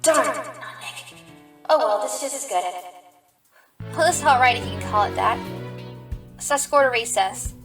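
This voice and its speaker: Rabbit cop-turned-lawyer, female performer: high voice, earnest, stressed, audio drama, roomy distant sound. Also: expressive, normal speed, long pauses, fairly bright tone. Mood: downbeat. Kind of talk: fan audio drama acting. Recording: echoey, off mic, music underneath.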